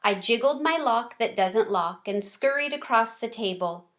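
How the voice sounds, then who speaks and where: Fairly high-voiced woman reading a children's book. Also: expressive, moderate pace, a bit bright. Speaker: read-aloud storyteller. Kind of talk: expressive children's chapter book narration.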